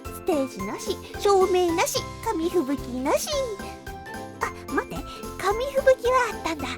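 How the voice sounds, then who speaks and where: A woman's voice: very high-pitched, sing-song, a little slow, over music, full character voice. A woman playing an easygoing, lazy but kindhearted teenage schoolgirl in an anime-style voice drama, cutesy, naive and breezy. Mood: blunt, cheerful.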